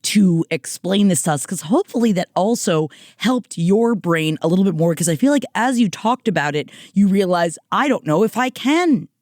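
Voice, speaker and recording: A woman's voice: medium pitch, expressive, moderate pace, hardly any pauses, darkish tone, no room sound, studio quality. A woman co-hosting a casual podcast, supportive and chatty, rambling with restarts as she responds to a caller. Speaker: podcast co-host. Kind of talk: casual chat.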